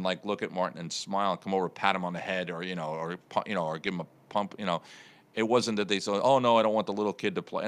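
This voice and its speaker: A man hosting a casual internet radio morning show, telling a long rambling story with many restarts. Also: sits low.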